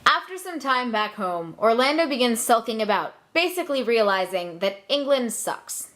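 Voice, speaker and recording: A woman's voice: on the high side, very animated, moderate pace, a bit bright. A young woman narrating a comic scripted story in a flippant, casual manner.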